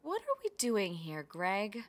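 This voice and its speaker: A woman's voice: medium pitch, huge pitch swings, slow. An actress playing a flighty, distracted middle-aged mother in a radio play, speaking hesitantly and vaguely. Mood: distracted, flat.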